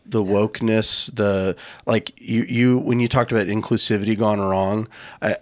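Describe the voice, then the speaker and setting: A man's voice: lowish voice, steady tone, moderate pace, dry recording. Earnest man thinking aloud. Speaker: podcast host. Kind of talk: casual chat.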